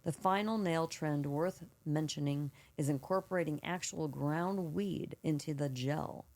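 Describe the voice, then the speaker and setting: A woman co-hosting a casual podcast with a froggy, slightly hoarse voice, reading a prepared segment and chatting. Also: low-pitched, unhurried, darkish tone. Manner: reads prepared segment aloud, then chats casually. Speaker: podcast co-host.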